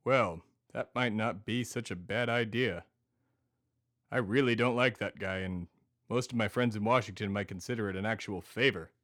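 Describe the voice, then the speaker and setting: A man's voice: on the low side, normal speed, a bit bright, lightly acted. Male actor as self-important New England lobbyist turned podcast host, blue-blooded and declamatory, comedy audio. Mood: scheming. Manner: pompous, indignant, declamatory. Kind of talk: scripted comedy podcast acting.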